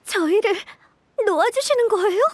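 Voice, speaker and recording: A woman's voice: extremely high pitch, lively intonation, normal speed, no echo. A woman voicing an anxious, earnest child from an orphanage in an emotional video game scene. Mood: tearful, pleading.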